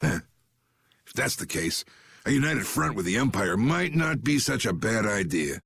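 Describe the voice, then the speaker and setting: A man voicing a gruff, commanding old guild boss in an anime video game, gravelly, blunt and quick to anger. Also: on the low side, sing-song, a bit bright, slight background noise, heavily acted. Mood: gruff, amused. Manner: blunt, swaggering, drawling. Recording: background music behind the voice.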